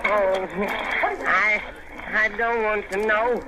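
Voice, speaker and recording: An actor playing a skinny, frightened, nervous man in an old radio drama, stammering and repeating himself haltingly. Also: high voice, little pitch movement. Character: skinny, jittery, frightened man shaking with nerves. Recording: noisy vintage radio recording.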